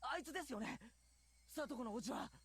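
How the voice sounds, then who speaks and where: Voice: high-pitched, lively intonation, rapid-fire, a bit bright, husky, full character voice. An actor playing an anxious, insistent teenage schoolboy in a Japanese visual novel. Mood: disbelieving.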